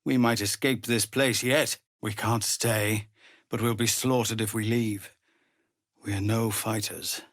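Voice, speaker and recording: A male actor playing a weary, honourable leader of embattled refugees in a video game, grave, measured and formal, with a husky voice that turns gravelly under strain. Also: on the low side, steady tone, no echo, studio quality. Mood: grave, earnest. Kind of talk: dramatic game dialogue.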